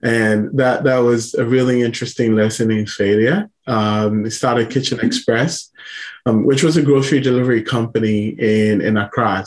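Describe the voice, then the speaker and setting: Low-voiced Ghanaian-raised man, easygoing. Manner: relaxed, laughs often, many ums and restarts. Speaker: podcast guest, startup founder. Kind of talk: casual interview.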